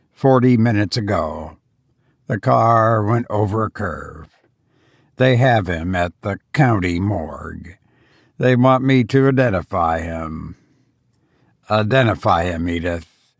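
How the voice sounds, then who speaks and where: Male reader as tired bereaved father, low pitch, subdued, restrained, creaky, dramatic story reading. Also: dry recording, clean studio sound, full character voice. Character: weary grief-stricken doctor and father, quiet, restrained. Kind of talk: dramatic audiobook character acting.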